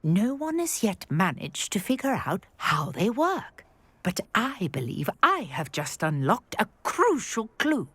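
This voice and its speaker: A woman playing a brisk, wry historian and archaeologist in a video game, articulate and full of curiosity. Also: mid-range voice, big pitch swings, fairly bright tone, breathy edge, no echo, exaggerated voice. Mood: enthusiastic, intrigued. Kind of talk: video game character acting.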